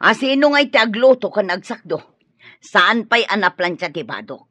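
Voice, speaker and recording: Sharp, husky, nagging housewife. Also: female voice, mid-range voice, sing-song, moderate pace, no echo. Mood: complaining. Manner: sharp, complaining. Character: nagging, callous housewife who exploits a girl's chores. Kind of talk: radio drama acting.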